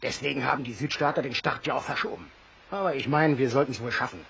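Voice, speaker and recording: An actor playing a brash, warm-hearted sailor in a German audio drama, speaking bluntly and colloquially. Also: male voice, medium pitch, quick pace, gravelly. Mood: confident, hearty. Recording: dull, hissy old recording.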